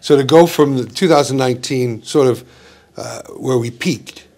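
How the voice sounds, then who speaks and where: A man's voice: medium pitch, moderate pace, creaky, darkish tone. A man from Wales talking through rugby in a rambling, hesitant way, with many fillers. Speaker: rugby pundit. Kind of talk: casual rugby discussion.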